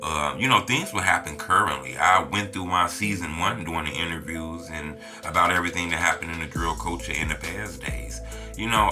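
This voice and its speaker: An American man hosting a street-culture interview channel, speaking in casual, conversational slang. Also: low-pitched. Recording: music behind the voice.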